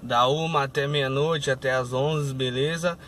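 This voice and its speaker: A man's voice: mid-range voice, steady tone, measured pace, fairly bright tone. A young man, vlogging from his car as a rideshare driver and checking in with his viewers in an upbeat way. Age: young adult. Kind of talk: casual vlog to camera. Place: inside a car, day and night. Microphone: phone camera on dashboard. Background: faint car and road noise.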